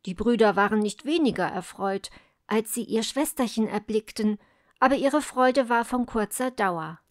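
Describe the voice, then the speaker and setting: A woman's voice: fairly high pitch, animated, moderate pace, dry recording, studio quality. A woman storyteller reading a scripted fairy tale narration.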